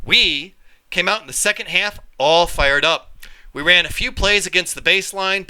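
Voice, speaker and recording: A man reading a children's story aloud in an expressive, animated storytelling style. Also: on the high side, poor audio. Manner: animated, acts out dialogue. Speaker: reader, storyteller.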